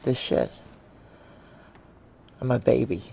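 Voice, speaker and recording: Low-voiced, raw, hard-bitten ex-soldier woman. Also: slow, creaky, warm tone, full character voice. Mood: distraught, confessional. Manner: blunt, confessional, emotionally raw. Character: hard-bitten, lovesick female ex-soldier narrating her own heist. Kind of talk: noir audio drama dialogue and narration.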